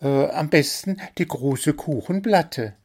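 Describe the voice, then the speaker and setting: A man voicing an excitable little bird who squeaks eagerly and schemes for presents. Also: slow pace, husky, full character voice.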